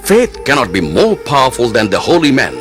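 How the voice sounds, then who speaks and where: A man acting a proud, easily offended Mughal emperor in a pompous, commanding manner for an animated moral tale. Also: medium pitch, a little fast, music in the background. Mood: incredulous.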